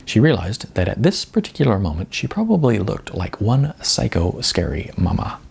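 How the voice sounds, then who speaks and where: A man reading fiction aloud as a scripted narration, with some creak in his voice. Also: on the low side, big pitch swings, moderate pace, darkish tone. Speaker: audiobook narrator.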